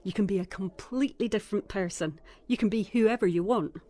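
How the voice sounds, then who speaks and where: A woman's voice: mid-range voice, a little fast, warm tone, dry recording, close to an everyday voice. An actress playing a guarded, dry and troubled Scottish woman in a naturalistic audio drama, with music behind her. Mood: guarded, wry. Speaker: actor.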